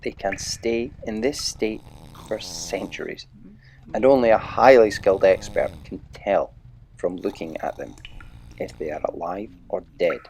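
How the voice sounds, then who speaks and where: A man narrating a children's story aloud in an expressive, unhurried way with dramatic pauses. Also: low voice, a little hiss or hum.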